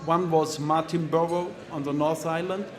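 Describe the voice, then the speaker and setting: A man's voice: fairly high pitch, fairly even intonation, normal speed. A man with a German accent, speaking on a wine panel, earnest and plain in his explanations. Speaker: winemaker panelist. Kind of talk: panel discussion.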